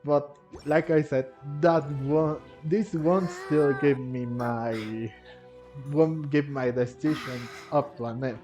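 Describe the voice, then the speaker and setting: A man's voice: on the high side, slow, warm tone. A man giving casual gameplay commentary and reading dialogue choices aloud as he records a video. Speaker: gaming video creator. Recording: dull lo-fi mic, faint game music.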